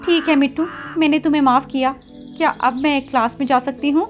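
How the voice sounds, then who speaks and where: Woman as a timid, high-voiced young sparrow. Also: steady tone, brisk, lo-fi sound, soft background music, natural voice. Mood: polite, subdued. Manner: polite, hesitant, pleading. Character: meek, timid young female village sparrow, a student. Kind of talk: Hindi children's cartoon story acting.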